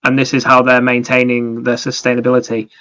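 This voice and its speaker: A man's voice: medium pitch, monotone, brisk, sharp tone, clean tone. A man chatting casually as a podcast co-host about video games, explaining things in a rambling, anecdotal way.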